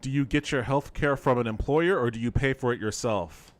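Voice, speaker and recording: A man with a mid-pitched voice improvises casual commentary as he plays a game. He talks in a provocative, mock-serious way and is happy to swear. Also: fairly quick, a little hiss or hum. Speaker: streamer playing an AI game demo.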